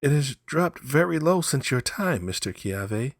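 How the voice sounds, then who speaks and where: A man's voice: mid-range voice, very animated, unhurried, dry recording, studio quality, pitched above the performer's own voice. A man voicing a frightened, guilt-stricken young man who pleads and exclaims in a strained way. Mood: anxious disbelief.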